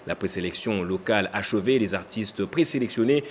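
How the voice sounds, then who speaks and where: A man reading a television news bulletin in a measured, formal way. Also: lowish voice, slight background noise. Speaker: news anchor.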